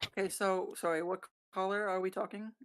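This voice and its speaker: A woman's voice: medium pitch, steady tone, fairly quick, clean tone, no echo, studio quality. A woman taking part in an online group session in a casual, joking style. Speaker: table read participant.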